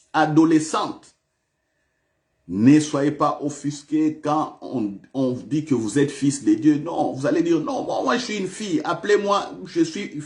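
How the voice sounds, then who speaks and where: A male pastor preaching a sermon in a church, repeating questions to the congregation with big swings of emphasis. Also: a little high.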